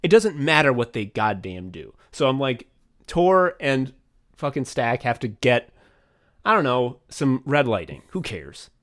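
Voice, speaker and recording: A young man chatting casually as a podcast co-host, rambling excitedly and swearing often as he walks through his own thinking. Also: medium pitch, a little slow. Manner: rambling, profane, excitable, acts out his own thought process. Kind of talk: casual behind-the-scenes chat.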